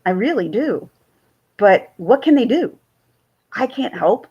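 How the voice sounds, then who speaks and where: Raspy-voiced woman chatting on a vlog. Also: a little high, very animated, takes it slowly, mellow, muffled recording. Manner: rambling, chatty, laughs often. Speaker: YouTube commentator. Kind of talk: casual talking-to-camera vlog.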